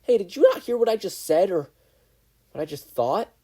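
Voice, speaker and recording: A reader as a gruff, defiant, hot-tempered teenage boy. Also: mid-range voice, very animated, normal speed, dark tone, voice pushed up. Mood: irritated. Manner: gruff, irritable.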